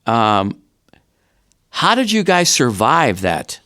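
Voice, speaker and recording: A man's voice: medium pitch, huge pitch swings, takes it slowly, pushed and bright. A man hosting a podcast interview, reacting briefly and asking friendly questions. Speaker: podcast host. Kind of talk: casual interview.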